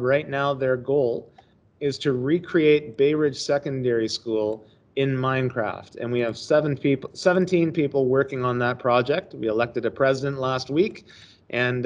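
A man's voice: mid-range voice, muffled recording. A middle-aged male teacher giving a casual, chatty screen-share presentation on a video call. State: hoarse, coughing. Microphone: webcam on a laptop.

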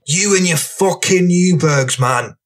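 A man's voice: sits high, a little slow, pitched above the performer's own voice. A man voicing an exasperated young lad, whiny and overdramatic, in an audiobook drama.

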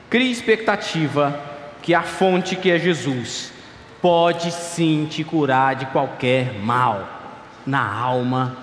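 A man's voice: a little high, a little hiss or hum. Man in his thirties preaching passionately. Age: 30s. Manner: passionate, swings from loud pleading to quiet asides. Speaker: pastor. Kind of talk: sermon. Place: large dark church stage at a pulpit. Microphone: stand mic at a clear pulpit. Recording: echoey church hall, faint ambience.

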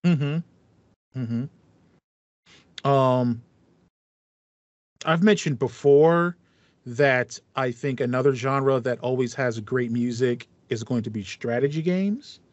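A man's voice: medium pitch, slow, long pauses, darkish tone, dry recording. A man in his thirties chatting casually and enthusiastically as a podcast co-host, explaining things in a rambling way. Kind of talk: casual chat about video game music. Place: small home room with foam panels, indoors. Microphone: headset on a video call. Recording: slightly muffled, dry online call audio.

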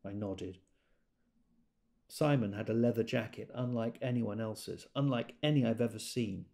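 Tender, wistful man, quiet, reflective, gravelly edge, audio drama monologue. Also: lowish voice, moderate pace. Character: wistful, tender man remembering a boyhood friend.

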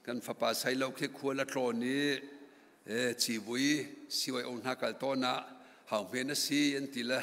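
A man's voice: medium pitch, fairly even intonation, measured pace, a bit bright. A middle-aged man preaching a sermon from a pulpit microphone in a large church hall, rising to emphatic passages. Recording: long church hall reverb.

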